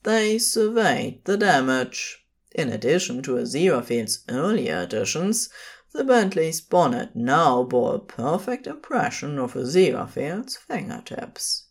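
A woman's voice: deep voice, big pitch swings, measured pace, husky. A woman narrating scripted fiction as the storyteller of an audio story.

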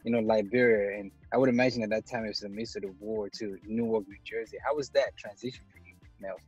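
A man's voice: lowish voice, fairly even intonation, fast talker, a little mellow, slightly rough. A man co-hosting a podcast, talking in an informal, conversational way. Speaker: podcast co-host. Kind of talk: casual podcast chat. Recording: dry muffled lo-fi audio, faint music.

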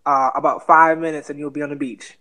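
Casting note: twenties Bahamian man, slightly high voice, relaxed podcast guest with fillers. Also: a little fast, over a noisy crowd. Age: 20s. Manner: relaxed, earnest, many fillers. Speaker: guest real estate investor. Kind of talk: podcast interview.

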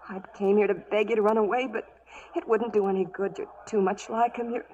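A woman's voice: medium pitch, steady tone, a little fast, muffled recording, natural voice. An actress playing a pleading, lovelorn young frontier woman in 1940s radio drama, earnest and breathless. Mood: anxious, urgent. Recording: 1940s radio broadcast with music.

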